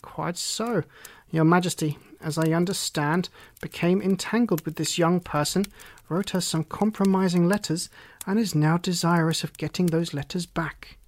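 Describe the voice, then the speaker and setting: A man's voice: high voice, normal speed, no echo, lightly acted. A man voicing a self-assured, dry-witted detective in an audiobook, languid and clipped. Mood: musing. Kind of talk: audiobook character reading.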